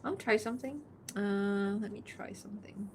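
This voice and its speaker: A woman's voice: mid-range voice, moderate pace, noisy background. A woman from Malaysia chatting casually with her live-stream audience while she works, laughing often and reading out chat messages. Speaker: art streamer.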